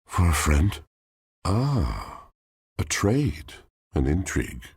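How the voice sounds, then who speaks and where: Brooding, very deep, gravelly poetic soul. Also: male voice, big pitch swings, slow pace, a little mellow, dry recording, studio quality, full character voice. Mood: earnest, pleading, then intrigued, solemn. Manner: theatrical, florid poetic diction, solemn. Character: brooding, lovelorn poetic washing machine, grandiose and wounded. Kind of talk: video game character acting.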